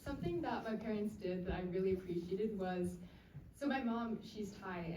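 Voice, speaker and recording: A woman's voice: medium pitch, mellow. Young woman answering at a panel, echoey. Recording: echoey room, distant mic, faint noise.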